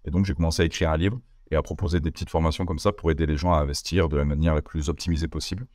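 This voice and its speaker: A young man from France with a very low voice talks fast in a casual interview, stumbling through fillers and restarts. The sound is close and dry, with no room around it. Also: steady tone, a little mellow, no echo. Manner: hesitant, many fillers and restarts. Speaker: interview guest, entrepreneur.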